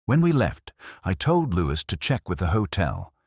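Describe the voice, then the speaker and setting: A man narrating a scripted first-person detective story in a measured, solemn, literary manner. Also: deep voice, wildly swooping pitch, darkish tone, husky, no room sound, studio quality.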